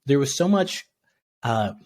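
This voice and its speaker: A young man from Tanzania, a podcast guest, chatting casually and acting out quotes with sudden jumps in pitch, laughing often. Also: very animated, measured pace, a little mellow. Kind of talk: casual interview chat.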